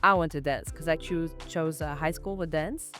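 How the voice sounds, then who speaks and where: Relaxed young woman from Norway. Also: low voice, moderate pace, faint music behind. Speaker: co-host and guest, movement artist. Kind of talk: casual podcast chat.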